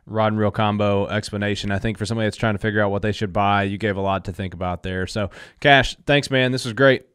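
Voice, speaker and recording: Young guy hosting from his home studio, smooth voice, super dry mic, chill and friendly. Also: lowish voice, fairly even intonation, fast, a bit bright, no echo. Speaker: podcast host. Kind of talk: casual interview hosting. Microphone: studio mic with headset.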